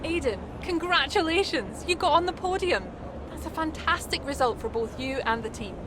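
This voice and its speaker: A brisk female sports reporter in a game cutscene. Her voice is high, upbeat and professional as she probes, with clear outdoor noise behind. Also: expressive. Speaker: interviewer.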